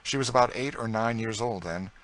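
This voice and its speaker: A man voicing a good-hearted, impulsive young gentleman from Kentucky in a storybook, frank, open and assured in manner. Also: lowish voice, a little fast, pitched above the performer's own voice, natural voice, low-quality recording. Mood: informative.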